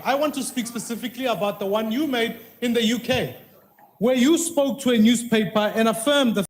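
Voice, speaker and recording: A man questioning the president in a parliamentary debate, pointed and insistent. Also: sits high. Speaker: opposition politician questioning the president. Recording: echoey parliament chamber.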